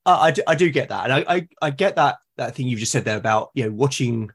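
A man's voice: medium pitch, expressive, fast. A man from Britain chatting as a podcast guest, thoughtful and tentative, repeating words as he thinks. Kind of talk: casual reflective conversation.

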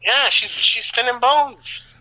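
A man calling in by phone, gruff and confused, cursing freely as he asks what is going on. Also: extremely high pitch, takes it slowly, sharp tone. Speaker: caller. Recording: phone line with background noise.

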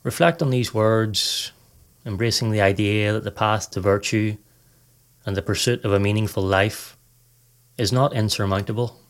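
Male podcast narrator, lower register, measured delivery for scripted guided meditation. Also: fairly even intonation. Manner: measured, instructional, reading aloud.

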